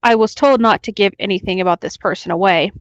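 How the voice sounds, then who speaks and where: A woman with a medium-pitched voice reads internet horror stories aloud as a podcast co-host. Her delivery is casual, with room for banter, and the sound is dry with no room echo. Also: fast, dull audio.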